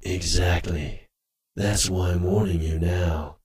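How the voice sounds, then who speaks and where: A man voicing a gruff, wary wolf companion in an audio drama, speaking tersely and bluntly, as if cautioning. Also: low-pitched, steady tone, slow pace, darkish tone. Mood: stern warning.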